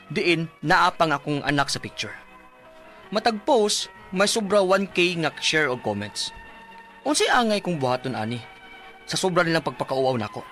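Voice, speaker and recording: An anxious, humble young Filipino husband in a radio drama. His voice sits slightly high and moves expressively, with a Cebuano accent. He sounds hesitant and plaintive, with music faintly behind him. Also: fairly bright tone. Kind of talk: radio drama acting and letter narration.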